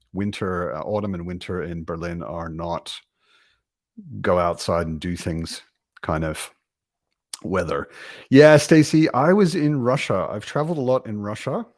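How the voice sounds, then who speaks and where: A man talks unhurriedly in a fairly low voice, drifting from thought to thought with plenty of ums and restarts. He is hosting a relaxed book livestream and answering viewer comments. The sound is close and dry, with no room echo. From Australia. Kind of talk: casual livestream chat reading out viewer comments. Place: home, in Berlin.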